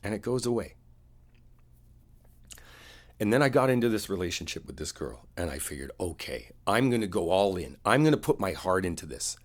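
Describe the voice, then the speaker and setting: Man acting a deep-voiced, earnest, wounded boyfriend. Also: very animated. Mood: wistful, then hurt, confiding. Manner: halting, confiding, earnest delivery. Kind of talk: intimate boyfriend roleplay ASMR.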